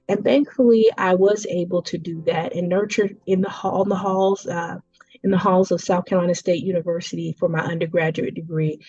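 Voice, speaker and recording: Earnest 40s woman telling her story, low-ish warm voice, kinda dull lo-fi audio. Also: no echo. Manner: earnest, reflective, slows down to stress points. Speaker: education leader. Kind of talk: personal testimony talk. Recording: dull lo-fi audio with faint music.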